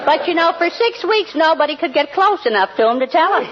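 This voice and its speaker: A woman's voice: sits high, lively intonation, a little fast, a loud crowd. A woman playing a chatty, jovial household friend telling folksy stories in a 1940s radio comedy. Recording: muffled noisy vintage radio recording, loud audience.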